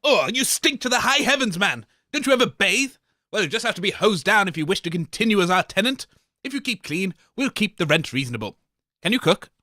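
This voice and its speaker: A man's voice: sits high, sing-song, brisk, sharp tone, dry recording, clean studio sound. A man co-hosting a comic radio show, blunt and theatrical.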